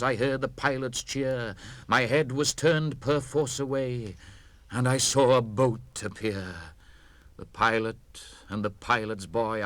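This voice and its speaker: An actor with a British accent playing a haunted old mariner, reciting verse with measured intensity. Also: male voice, medium pitch, no room sound, lightly acted. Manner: intense, measured, swinging from hushed to impassioned. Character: haunted old mariner, fearful and awestruck, recounting his voyage. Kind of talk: dramatic poetry recitation, 1960s spoken-word record.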